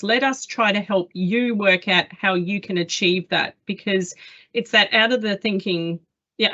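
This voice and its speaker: An enthusiastic woman hosts a business webinar, talking in a chatty, animated way with plenty of ums. She comes through a video call, and the audio is dull and lo-fi. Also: medium pitch. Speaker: webinar host. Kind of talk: corporate webinar moderation.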